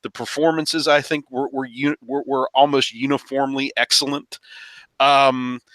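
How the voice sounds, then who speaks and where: A man's voice: mid-range voice, little pitch movement, normal speed, fairly bright tone, no echo. A man talking casually and emphatically as a panelist in a film review roundtable, often repeating phrases as he builds his point. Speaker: podcast panelist reviewing films.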